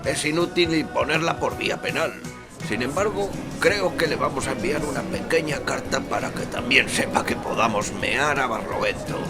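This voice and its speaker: A man's voice: mid-range voice, moderate pace, natural voice. A man playing a learned, ironic, paternal archaeology professor in a radio soap opera, theatrical and pedantic. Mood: wry indignation. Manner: theatrical, pedantic, sprinkles Latin. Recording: music behind the voice.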